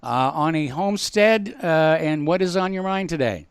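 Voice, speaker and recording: Halting talk-radio host, dry studio sound. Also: male voice, a little high, animated, clean tone, no room sound. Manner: halting, full of "uh" fillers, blunt. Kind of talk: talk radio hosting and news commentary.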